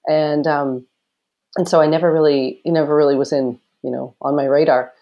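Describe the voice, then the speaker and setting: A woman in her forties from Canada, a former Olympic fencer with a loud voice, telling stories in a casual interview with quick laughs and acted quotes. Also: sits low, fairly even intonation, lo-fi sound.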